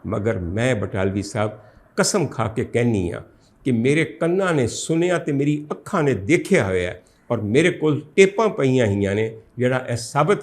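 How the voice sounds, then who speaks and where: A man voicing an indignant, outspoken Punjabi woman who recounts her grievances with emphatic, lamenting exclamations in a storytelling talk. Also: medium pitch. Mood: accusing, emphatic.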